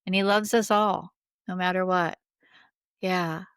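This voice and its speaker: A woman's voice: fairly low pitch, fairly even intonation, measured pace, fairly bright tone, smooth, dry recording. Earnest woman sharing stories, close dry sound. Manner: earnest, informal, frequent "like" and "right?". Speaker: podcast guest, spiritual healer. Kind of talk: conversational storytelling, reading out testimonials.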